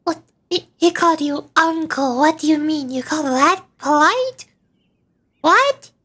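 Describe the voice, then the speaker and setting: A tiny fairy sidekick from a video game, childlike and very high. She is squeaky, blunt and excitable, yet unhurried in pace. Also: full character voice. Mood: indignant.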